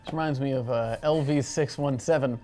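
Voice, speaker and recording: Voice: medium pitch, a little fast, mellow, a little hiss or hum. A tabletop role-playing game player talking casually, with a wry, sarcastic delivery. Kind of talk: casual improvised game chat.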